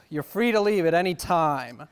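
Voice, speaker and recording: A man's voice: a little high, lively intonation, takes it slowly, clean tone. An actor playing a broke, irritable man fed up with a pestering salesman, protesting in a comic stage play. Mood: annoyed. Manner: exasperated, loud protesting, sighing. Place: theater stage at a play festival. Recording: reverberant stage hall.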